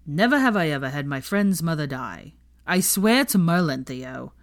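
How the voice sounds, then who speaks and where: A woman's voice: sits low, animated, moderate pace, dry recording, studio quality. Woman reading as a haughty, sardonic young wizard man. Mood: exasperated.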